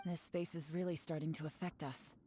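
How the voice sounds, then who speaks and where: Game role: shrewd adult female investigator played by a woman, deep voice, measured, analytical, faint music. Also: fairly even intonation, mellow, lightly acted. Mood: thoughtful. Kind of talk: video game voice acting.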